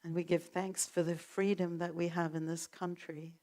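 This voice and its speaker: A woman leading a spoken prayer at a church service, calm and unhurried. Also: fairly low pitch. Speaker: prayer leader.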